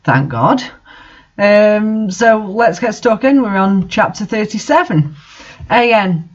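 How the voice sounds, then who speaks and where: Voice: mid-range voice, lively intonation, slow, dull audio. A host reading fan fiction aloud in an amateur dramatic style, with the odd aside.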